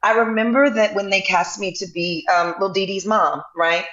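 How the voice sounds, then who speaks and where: A woman in her forties, a guest on a talk podcast, unspools her memories in a loose, meandering way. She pads her sentences with plenty of ums. Her voice sits at a middling pitch and sounds roomy and a little muffled, as if recorded remotely. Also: normal speed. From US Midwest. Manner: relaxed, rambling storyteller with many fillers. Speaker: guest actor. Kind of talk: casual podcast interview.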